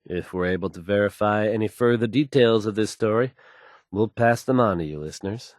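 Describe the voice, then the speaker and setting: An actor playing a confident, smooth-talking radio DJ in a game, delivering fluent and assured announcements. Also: male voice, on the low side, fairly quick, no room sound, studio quality, natural voice.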